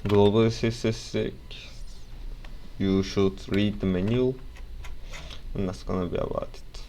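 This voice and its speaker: Young man, Turkish accent, deep and unhurried, rambling tutorial delivery, faint noise behind. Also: steady tone, mellow. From Turkey. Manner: rambling, self-correcting, casual asides. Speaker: software tutorial presenter. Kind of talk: casual screen-recorded software tutorial.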